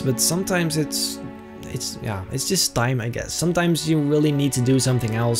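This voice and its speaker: A young man talks through viewer questions in a loose, easygoing way. He hesitates, fills gaps with fillers and trails off into little asides. His voice sits at an ordinary pitch, and music plays clearly behind him. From Netherlands. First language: Dutch. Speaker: YouTuber answering viewer questions. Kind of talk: casual Q&A chat. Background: background music.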